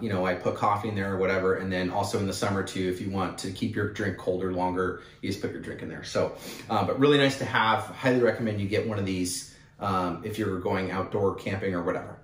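A young man hosting a casual, upbeat product haul vlog, with plenty of fillers. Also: lowish voice. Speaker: channel host. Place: indoor room with wood-panel wall. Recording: roomy echo, mic at a distance.

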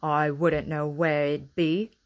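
A woman voicing a gruff, lonely old male gardener in a storybook reading. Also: low-pitched, little pitch movement, slow. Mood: snappish. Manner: gruff, curt.

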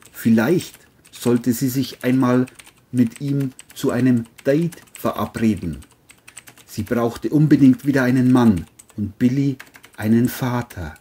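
Crime audio drama narrator, mid-pitched, husky, mellow tone, scripted storytelling.

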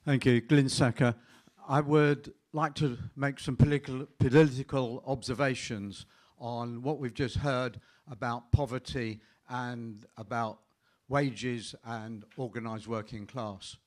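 Male audience member, mid-range voice, measured delivery. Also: medium pitch, fairly even intonation. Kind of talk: comment from the floor.

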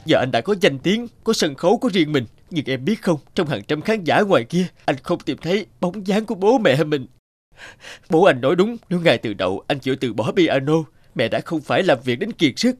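A man playing a guilt-ridden young male pianist in an animated drama, dejected and self-blaming. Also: a little high, fairly quick, no echo. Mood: despairing.

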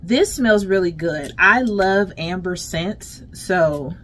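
A middle-aged American woman chatting casually and emphatically to her viewers as a lifestyle vlogger, in an unhurried way. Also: lowish voice, expressive, a bit bright. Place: closet at home. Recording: clear background noise, home vlog.

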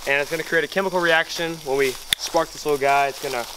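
A man's voice: a little high, steady tone, fast. A young man presenting a student video in a casual vlog style, outdoors in the woods. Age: young adult. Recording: noisy outdoor camera sound.

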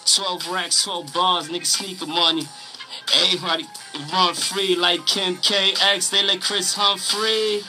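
A man's voice: high voice, level tone, measured pace, pushed and bright, soft background music. A young man from New York rapping freestyle in a brash, boastful, streetwise way on a close studio microphone. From Harlem, New York. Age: young adult. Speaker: guest rapper. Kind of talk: freestyle rap over a beat. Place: small radio studio. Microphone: close studio boom microphone. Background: hip-hop beat playing.

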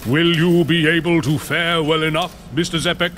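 A man's voice: a little high, fairly even intonation, moderate pace, fairly bright tone, a little hiss or hum, lightly acted. A man playing a solemn, devout older knight in a comic dub, speaking formally and earnestly. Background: background music.

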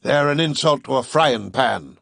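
A man's voice: medium pitch, lively intonation, unhurried, gravelly, fairly bright tone, slightly rough, no echo, full character voice. A man voicing a blunt, plain-spoken harpooner with dry, folksy humor. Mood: wry. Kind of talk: audiobook character voice.

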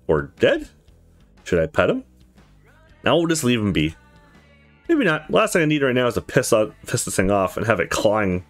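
Man, casual let's play host with very lively pitch, thinking aloud, guitar music behind. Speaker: gaming video host. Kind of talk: let's play commentary, reading game dialogue aloud.

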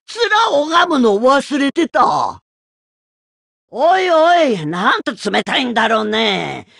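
A woman voicing a gruff, stern old female martial arts master in a video game, with a raspy voice and blunt, old-fashioned phrasing. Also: high-pitched, very animated, slow, a bit bright, no room sound, clean studio sound, exaggerated voice. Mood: wry, brusque. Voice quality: gravelly, raspy. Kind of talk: video game character voice lines.

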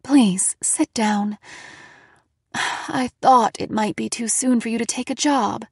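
A woman's voice: fairly high pitch, slow pace, gravelly, a little mellow, slightly rough, no echo, studio quality, heavily acted. A woman voicing a worried, skeptical and bossy middle-aged mother in an audiobook story. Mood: gently worried. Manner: questioning, insistent, scolding.

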